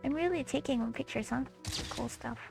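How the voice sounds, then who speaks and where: Casual female gamer, slangy and exclamatory, creaky delivery, game music underneath. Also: high voice, moderate pace. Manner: slangy, swearing, exclamatory reactions. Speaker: gamer reacting to a story quest playthrough. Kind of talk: casual reaction commentary over a video game. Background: video game music.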